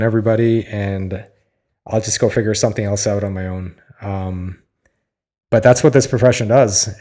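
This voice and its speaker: A slightly hoarse man talking candidly in a solo podcast, weary and confessional, halting often to restart his thoughts. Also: on the low side, darkish tone. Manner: halting, searching, with many restarts and fillers. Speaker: podcast host.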